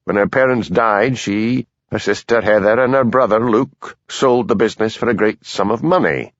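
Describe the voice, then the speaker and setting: A man voicing a cold, stern police superintendent who speaks quietly and frostily, in a dull lo-fi recording. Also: fairly low pitch, moderate pace, no echo, close to an everyday voice. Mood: frosty.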